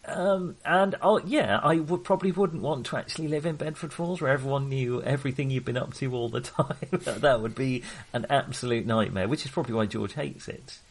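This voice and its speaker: A man chats casually about films as a podcast co-host. His voice sits at an average pitch, and he thinks aloud, hesitating and breaking off to rephrase as he goes. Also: brisk, hardly any pauses, breathy edge. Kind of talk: casual film discussion.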